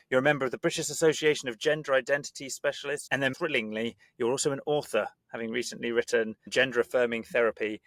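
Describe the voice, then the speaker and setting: Male interview podcast host, conversational delivery, medium pitch, very dry recording. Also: vocal fry, fairly bright tone, no room sound.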